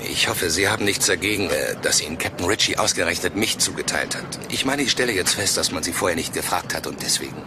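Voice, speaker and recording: An actor playing an eager, overly correct police sergeant, formal and deferential, in a German crime audio drama. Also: male voice, deep voice, animated, quick pace, few pauses, music in the background. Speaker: detective sergeant.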